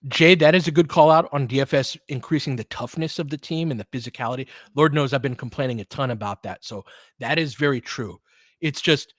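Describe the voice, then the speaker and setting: A man's voice: medium pitch, fairly bright tone, dry recording. A man giving informal live basketball play-by-play as a fan-facing host, opinionated and conversational, in clipped phrases.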